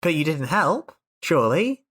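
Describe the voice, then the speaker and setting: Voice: medium pitch, big pitch swings, normal speed, fairly bright tone, slightly rough. A tabletop player voicing an anxious young sidekick, fretful and scolding, repeating words in exasperation. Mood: exasperated.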